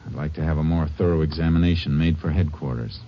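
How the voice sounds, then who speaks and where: An actor playing a courteous, steady Texas Ranger in a 1950s radio drama, with an American accent and a measured, understated delivery. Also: male voice, rumbling low, slight background noise. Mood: solemn, gentle. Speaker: lead actor and first-person narrator. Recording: dull vintage radio recording with music.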